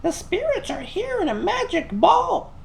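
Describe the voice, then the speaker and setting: A man's voice: very high-pitched, sing-song, unhurried, gravelly, voice pushed up, heavily acted. A man voicing a cooing, theatrical old woman fortune teller in a children's storybook reading, drawing out the words. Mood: excited.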